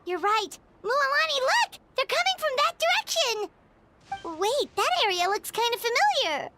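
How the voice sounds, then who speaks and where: Game role: chirpy childlike fairy companion, piercingly high, very animated and excitable. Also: female voice, normal speed, noisy background, full character voice. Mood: excited. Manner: squeaky, eager. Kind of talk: video game voice acting.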